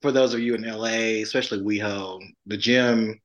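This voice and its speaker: A man co-hosting a casual podcast interview, enthusiastic and giggly, with halting starts. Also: on the low side, fairly even intonation, dull audio. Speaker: podcast co-host.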